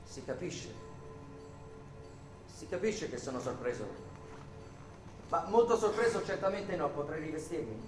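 Radio play lead: indignant innocent man under arrest, higher-pitched, rhetorical, roomy sound over music. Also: big pitch swings, a little slow, long pauses. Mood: controlled, reasoning. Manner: rhetorical, insistent questioning. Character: indignant arrested man protesting his innocence, articulate and defiant. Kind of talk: theatrical radio drama acting. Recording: roomy echo with music behind.

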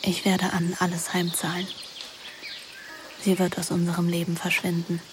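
A woman's voice: lowish voice, completely flat delivery, moderate pace, heavy background noise, natural voice. A young woman playing a scheming, anguished teenage girl who narrates her own story in a radio drama, intimate and introspective. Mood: brooding, introspective.

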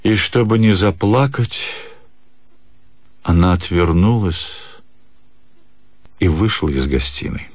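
A man narrating a literary story for radio, with expressive, measured dramatic phrasing. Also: low-pitched, dry recording. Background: music.

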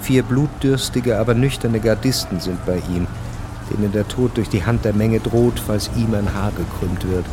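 A man's voice: on the low side, moderate pace, background noise, lightly acted. A man reading scripted narration for a radio drama. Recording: narration mixed with loud music and effects.

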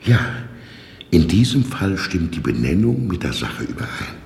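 Dry mocking jailer, very low creaky voice, slow pedantic delivery, echoey radio play. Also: male voice, slight background noise, exaggerated voice. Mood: deadpan. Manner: deadpan, pedantic, unhurried. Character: dry, evasive male prison warder, coolly formal and mocking. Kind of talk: German radio drama acting. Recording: echoey reverberant space, music in the mix.

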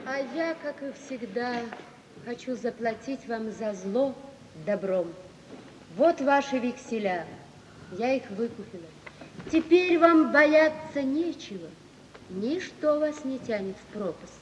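An actress playing a gentle, wronged wife who speaks with dignified, solemn resolve in an old radio play. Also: female voice, a little high, lively intonation, a little hiss or hum. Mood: sorrowful, composed. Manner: solemn, measured, earnest declamation. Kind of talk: 1950s Soviet radio play acting. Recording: dull, distant 1950s radio recording, hall reverb.

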